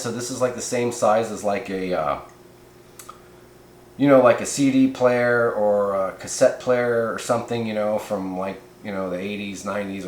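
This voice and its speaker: A man giving a casual, rambling hands-on product review in a laid-back, folksy way, like a hobbyist. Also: medium pitch. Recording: echoey room, noticeable background hiss.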